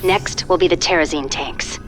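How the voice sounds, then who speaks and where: A woman's voice: on the low side, moderate pace, faint music behind, natural voice. A woman voicing a cool, determined psychic covert agent in a video game, controlled and military in tone. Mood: businesslike briefing. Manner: controlled, crisp, military briefing tone. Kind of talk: video game cutscene acting.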